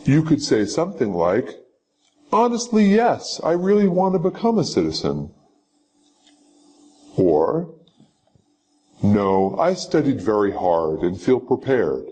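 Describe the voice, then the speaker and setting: Slow, husky male lesson narrator. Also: medium pitch, big pitch swings, mellow. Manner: slow, measured, instructive. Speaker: teacher. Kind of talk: scripted example answers for a citizenship interview.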